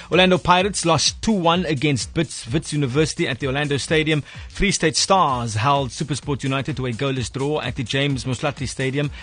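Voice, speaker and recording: A man's voice: mid-range voice, fairly bright tone. A man with a South African accent hosting a football radio show, reading results and interviewing in a studio. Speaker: sports radio host.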